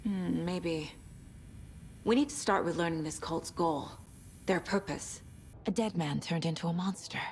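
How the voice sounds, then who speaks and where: An actress playing a focused, determined investigator in a video game, speaking in a serious, analytical way. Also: female voice, lowish voice, measured pace, a little mellow, a little hiss or hum. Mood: serious, resolute. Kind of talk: naturalistic video game acting.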